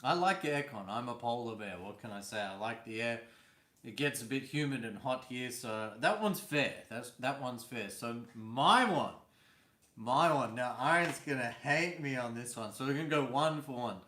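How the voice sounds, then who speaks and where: A man hosting a live stream from indoors at home, talking casually and teasing his co-host with easy laughs. His voice sits at an ordinary pitch. The room around him rings with a noticeable echo. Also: normal speed, sharp tone. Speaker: vlog host.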